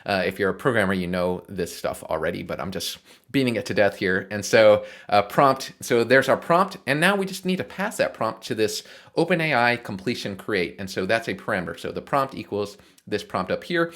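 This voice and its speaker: Man, slightly low voice, casual programming tutorial host, explanatory, informal walkthrough. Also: expressive, a little fast, fairly bright tone. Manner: explanatory, informal, often checking in with "right?".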